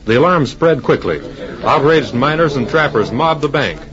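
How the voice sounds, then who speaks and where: A man's voice: medium pitch, lively intonation, normal speed, crowd noise. A man narrating an old adventure serial in a dramatic, scripted 1940s radio announcer style. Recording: dull old radio recording.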